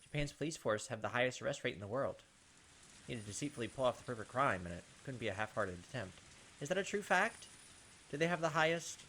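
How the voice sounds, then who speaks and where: A man with a mid-range voice reads visual novel narration aloud as a playthrough host, dropping into informal asides. A clear hiss sits behind the voice. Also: medium pitch, fairly bright tone. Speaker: host of a game playthrough channel.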